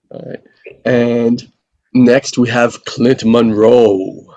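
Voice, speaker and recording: A man's voice: medium pitch, steady tone, extremely slow, mellow, dry recording, dull audio. A man hosting a table read over a video call, talking casually and hesitantly with many fillers. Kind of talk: casual chat.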